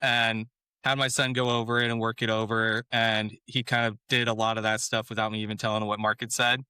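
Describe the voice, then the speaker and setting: A man's voice: lowish voice, little pitch movement, a little fast, sharp tone, clear, resonant tone, no echo. A man being interviewed as an author, talking in a laid-back way and chuckling often. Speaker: author guest. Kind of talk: casual interview chat.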